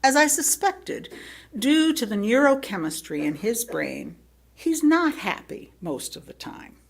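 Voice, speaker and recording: A woman reading a prepared sermon as a lay speaker, in a reflective manner with rhetorical questions and deliberate pauses. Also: on the high side, very animated, creaky. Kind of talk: sermon read from a prepared text.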